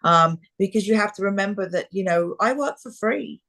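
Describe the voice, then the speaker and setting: A woman talent agent on a video call, talking frankly with lots of fillers, her voice a little hoarse. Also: medium pitch, lively intonation, moderate pace, fairly bright tone. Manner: frank, many fillers and restarts. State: slightly hoarse. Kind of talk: live video call chat. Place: quiet room in a rental house.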